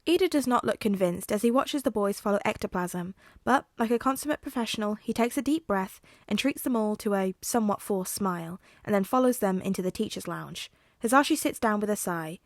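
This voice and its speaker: A woman's voice: mid-range voice, a little fast, no echo, studio quality. A woman reading a scripted story aloud as its narrator. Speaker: podfic narrator.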